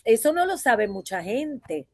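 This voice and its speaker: A woman's voice: a little high, big pitch swings, measured pace, darkish tone, no room sound, clean studio sound. A woman from Puerto Rico with a Puerto Rican accent, a voice teacher giving a conversational conference talk and often checking in with her listeners through tag questions. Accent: Puerto Rican Spanish.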